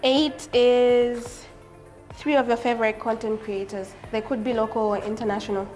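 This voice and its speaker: A woman's voice: a little high, steady tone, normal speed, faint music behind. Woman host asking casual questions. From Zambia. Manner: friendly, asks questions with soft fillers. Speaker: interview host.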